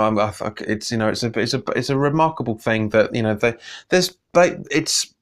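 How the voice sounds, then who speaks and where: A man's voice: medium pitch, a bit bright. A man hosting a casual news roundup vlog, sounding nasal from a cold, rambling, restarting sentences and getting heated in his opinions.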